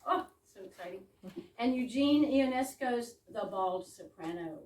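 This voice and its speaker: Female evening host, higher-pitched, theatrical with chatty asides, recorded from far off with some noise. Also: animated, a little slow, darkish tone. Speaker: host welcoming an audience to a readers theater evening. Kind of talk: live welcome speech. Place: room in a private home with a small audience. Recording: echoey room, distant mic, background noise.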